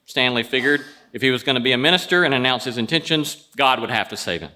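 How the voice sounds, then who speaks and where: A man hosting a show, telling prepared stories and introductions in a wry, deliberate way. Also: medium pitch, expressive, pushed and bright, a little hiss or hum. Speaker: show host introducing a guest. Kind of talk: prepared storytelling introduction before an audience.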